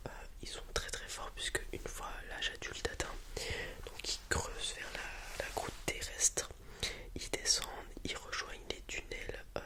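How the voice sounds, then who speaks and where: A person whispering softly and breathily in a hesitant, improvised ASMR roleplay as a helpful dragon breeder explaining to a customer. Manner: hesitant, improvised explaining with many pauses and repetitions.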